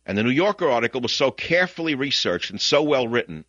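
An older man with a Bronx New York accent hosting a talk radio show, ranting combatively and swinging between muttering and shouting into a studio mic. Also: mid-range voice, very animated, fast, no room sound. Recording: dull, lo-fi, dry broadcast audio.

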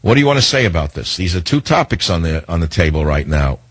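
A radio talk show host, a man, delivering an indignant monologue full of rhetorical questions, slowing down to hammer his points. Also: deep voice, big pitch swings, husky, dry recording. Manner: slows down to hammer lists and key phrases.

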